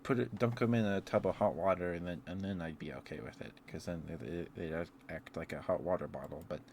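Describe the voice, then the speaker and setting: A man's voice: low-pitched, a little fast, a little mellow, a little hiss or hum. A man doing a casual ASMR ramble as a livestreamer, playfully musing aloud and letting thoughts trail off.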